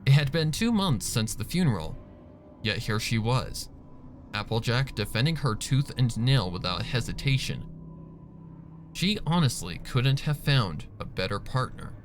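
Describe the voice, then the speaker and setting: A man narrating a dark story from a script in a dramatic reading style. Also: mid-range voice, very animated, a little slow, a little hiss or hum. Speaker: fan fiction reader.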